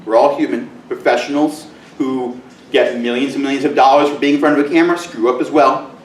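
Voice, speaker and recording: A middle-aged male teacher giving a conference presentation in a loud, booming voice with joking asides, recorded from far off in a large room. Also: fairly even intonation, a little fast, reverberant. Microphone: camera mic from far off.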